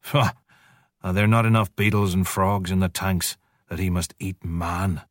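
A proud father wolf with a stern, blunt way of talking, read by a man. His low voice is close and dry, snapping short orders. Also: steady tone, a little slow, no echo. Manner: stiff, blunt, angry.

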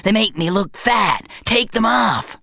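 A petulant talking bum that whines and bosses its owner around, played by a male audiobook reader. The voice sits high above the reader's own and has a strange, squeezed timbre. It is recorded close and dry. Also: lively intonation, a little slow, creaky, pushed and bright, no room sound, full character voice. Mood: complaining. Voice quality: whining, petulant. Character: whiny, complaining talking bum.

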